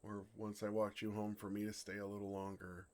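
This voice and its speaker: Man acting a low-voiced, flustered, smitten dragon. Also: monotone, a little mellow, close to an everyday voice. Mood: tender, wistful. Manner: flustered, halting, earnest delivery. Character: bashful, smitten male dragon in human form. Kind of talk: ASMR romantic fantasy audio roleplay.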